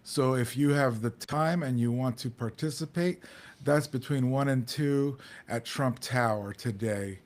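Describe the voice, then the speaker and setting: A middle-aged man, co-host of a community talk show, explaining things in an earnest, halting way. He restarts his sentences often and fills the gaps with "uh" and "you know." His voice sits at an ordinary middle pitch. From United States. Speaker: podcast co-host.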